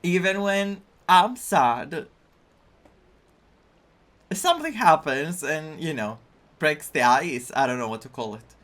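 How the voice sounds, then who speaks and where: A video game streamer giving casual, personal commentary in a halting, reflective and candid way. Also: medium pitch, big pitch swings, a bit bright. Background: faint game music.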